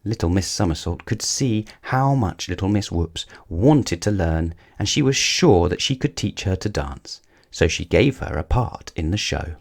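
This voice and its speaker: A man reading a children's picture book aloud as a storyteller, with deliberate, dramatic emphasis. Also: deep voice, expressive, vocal fry. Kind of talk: expressive scripted children's story narration.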